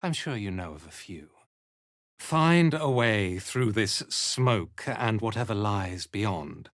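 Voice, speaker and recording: Cold, haughty cult commander, slow and swooping. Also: male voice, on the low side, expressive, dry recording, clean studio sound. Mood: cold, commanding. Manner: menacing, condescending, measured. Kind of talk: video game character acting.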